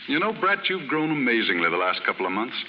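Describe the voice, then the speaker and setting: An actor playing a genial but evasive novelist in 1930s radio drama, polite and a little hesitant. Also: male voice, medium pitch, very animated, fast, a little hiss or hum, natural voice. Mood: tired, amused. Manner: polite, hesitant, gently patronizing. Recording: muffled, echoey 1930s radio broadcast.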